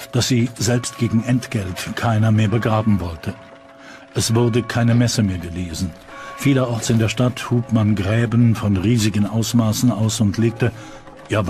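A male actor plays a grave medieval chronicler recounting horrors for a documentary, in standard German. The voice is fairly low and solemn, with music clearly behind it. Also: a little slow, a little mellow, natural voice. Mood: sombre, grieving. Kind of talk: dramatised reading of old chronicles. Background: background music.

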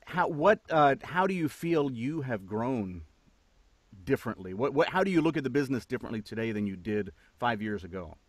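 A middle-aged man hosts a podcast interview, tossing out questions with a jokey, friendly manner. His voice sits at a middling pitch, recorded close on a condenser mic in a dry, treated home studio. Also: very animated, fairly quick, dry recording. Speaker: podcast host. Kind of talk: casual interview chat. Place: home studio with foam panels.

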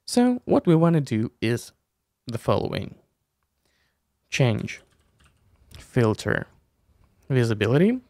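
Low-voiced man with lively, gravelly delivery. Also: takes it slowly, long pauses, no echo. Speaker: tutorial host. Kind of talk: step-by-step software tutorial. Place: small room indoors. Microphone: close desk mic with headset.

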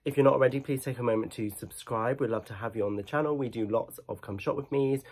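A man's voice: medium pitch, fast, darkish tone, breathy edge. A young man with a blocked nose, chatting casually to camera as a shopping vlogger, rambling with lots of ums and restarts. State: stuffy-nosed from hay fever. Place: living room at home, then a clothing store.

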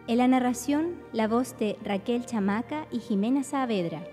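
Woman reading radio play narration, highish mellow voice, big reverb, music under it. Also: normal speed. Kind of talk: scripted radio drama narration.

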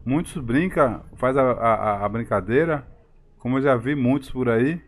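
A man's voice: medium pitch, moderate pace. A man with a Bahian accent from Salvador, chatting casually with viewers and greeting them by name during a live game stream. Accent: Bahian Brazilian Portuguese. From Salvador, Bahia, Brazil.

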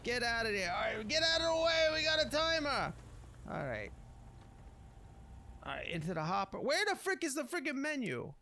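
A man's voice: very high voice, sing-song, normal speed, sharp tone, a little hiss or hum. A man giving casual, improvised gameplay commentary in slangy, grumbling talk with mild minced oaths. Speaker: gamer narrating a playthrough.

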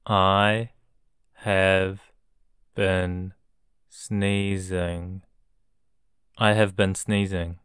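A man's voice: low voice, flat delivery, very slow, pauses often, a bit bright, clean tone, dry recording, clean studio sound. A man teaching English words for illness, saying each word three times and then each sentence word by word. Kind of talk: slow drilled vocabulary reading.

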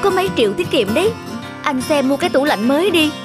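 Sharp-tongued thrifty housewife: sarcastic cartoon delivery, higher pitch, clear music bed. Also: female voice, lively intonation, fast. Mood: sarcastic, annoyed. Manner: sarcastic, complaining.

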